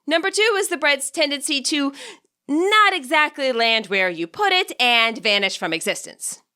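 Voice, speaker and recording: A woman narrates a scripted story in an expressive audiobook style. Her voice is fairly high and bright. Her intonation moves around a lot, keeping the reading animated. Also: moderate pace. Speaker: audiobook narrator and fan fiction author.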